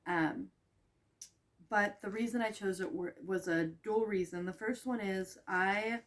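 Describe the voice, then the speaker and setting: A young woman from Russia with Russian as her first language walks through her lesson plan, chatty and loose, full of ums and small restarts. She sounds a bit worn out and low on energy. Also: steady tone, a little slow. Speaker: student teacher presenting a reading lesson. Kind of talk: casual explanation to camera.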